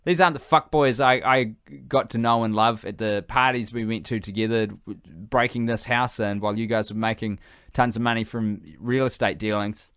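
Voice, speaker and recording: A man's voice: fairly low pitch, fairly even intonation, moderate pace, a bit bright, smooth, dry recording, studio quality, natural voice. A man voicing an earnest young woman who bossily takes charge and speaks frankly in a comic story. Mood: bossy, rallying. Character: young woman, earnest, bossy self-appointed fixer.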